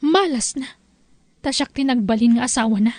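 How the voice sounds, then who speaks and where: A woman's voice: on the high side, moderate pace, a little mellow, dry recording, natural voice. A woman playing an ailing, weary young married daughter who hides her illness, with an Ilocano accent, in a radio-style drama. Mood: weary, resentful. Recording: music behind the voice.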